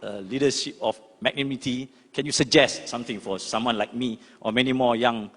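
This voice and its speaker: Halting Malaysian man in a reverberant hall. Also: medium pitch, fairly even intonation. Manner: halting, deferential. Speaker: audience questioner, member of parliament. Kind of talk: asking a question at a public forum. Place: large hall, public forum. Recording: husky-edged sound in reverberant large hall.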